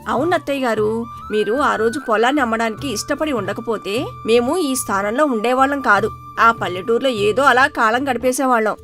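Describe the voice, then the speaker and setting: Two-faced young housewife played by an actress, higher voice, pointed and grasping, for a moral-story cartoon. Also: female voice, brisk, a bit bright, soft background music, lightly acted. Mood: sweet. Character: two-faced, greedy young daughter-in-law.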